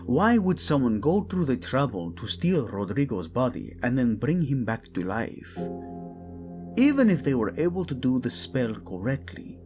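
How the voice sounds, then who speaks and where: A man's voice: medium pitch, very animated, moderate pace, music in the background. A man playing a proud, brooding young prince in an audio drama, curt and sardonic, with a Spanish accent. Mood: grave, skeptical. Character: brooding, proud young prince, defensive about his dead brother.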